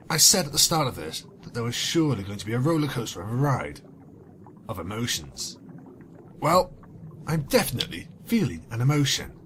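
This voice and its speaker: A man's voice: medium pitch, a bit bright, a little hiss or hum. A man reading fan fiction as a narrator, theatrical, with sarcastic, wry asides. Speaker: narrator and commentator.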